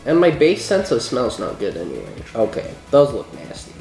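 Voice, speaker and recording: A man's voice: medium pitch, moderate pace, vocal fry. A congested young man with a stuffy, nasal voice, co-hosting a casual food taste test at a home kitchen counter. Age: young adult. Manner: animated, wordy explainer. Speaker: co-host. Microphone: camera mic, seated at counter. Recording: echoey room, faint music.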